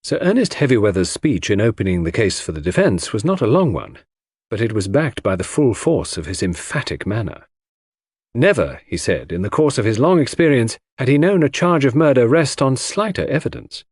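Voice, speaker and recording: A man reading classic crime fiction as a scripted audiobook, in formal, measured storytelling. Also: mid-range voice, sing-song, darkish tone, husky, no echo, studio quality. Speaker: audiobook narrator.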